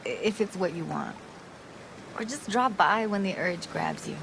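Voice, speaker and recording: A woman's voice: on the low side, animated, normal speed, vocal fry, background noise. A woman playing a guarded but tender young techie in a video game, talking in an intimate, hesitant, slangy way. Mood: tender, wry. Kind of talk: naturalistic video game acting.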